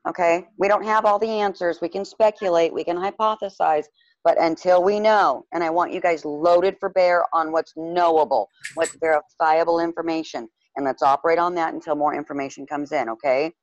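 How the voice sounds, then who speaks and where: A blunt, emphatic woman advises parents in a group meeting, with a lower voice. The sound is dry, with no room echo. It comes through muffled and dull. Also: fairly even intonation, moderate pace. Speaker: advocate.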